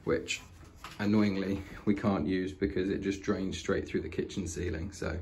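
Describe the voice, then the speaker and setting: Low-voiced British man giving a house tour. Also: steady tone, moderate pace, a little mellow. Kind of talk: casual walk-through narration. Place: inside an old house, walking between rooms. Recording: noisy indoor handheld home recording.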